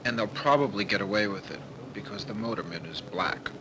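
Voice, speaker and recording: A man's voice: fairly low pitch, fairly quick. American male narrator, noisy old newsreel. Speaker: documentary narrator. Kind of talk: political documentary narration.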